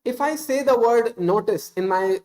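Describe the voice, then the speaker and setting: A man's voice: very high voice, moderate pace, a little mellow. A man in his thirties with an Indian accent, whose first language is Hindi, giving casual, unscripted English-speaking advice on a stream, earnest and full of small "uh"s and self-corrections.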